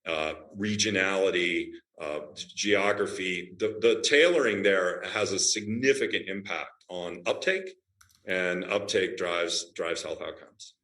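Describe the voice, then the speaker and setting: Deep-voiced man, executive guest. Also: pushed and bright, roomy sound. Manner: measured, businesslike, many fillers and restarts. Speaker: healthcare company CEO, guest. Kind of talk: interview answers.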